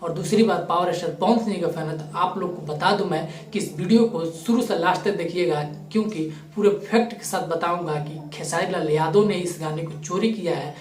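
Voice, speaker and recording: A man's voice: high-pitched, brisk, few pauses. Echoey, distant man giving emphatic commentary. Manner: accusatory, emphatic. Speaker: YouTube commentator. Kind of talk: opinionated video commentary to viewers. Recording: roomy echo, distant microphone.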